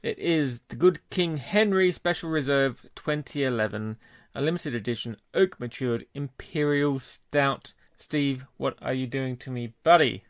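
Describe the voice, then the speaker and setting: A man's voice: medium pitch, unhurried, few pauses, no echo, studio quality. A man co-hosting a podcast, talking in a casual, upbeat and jokey way. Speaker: podcast co-host. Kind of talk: casual chat.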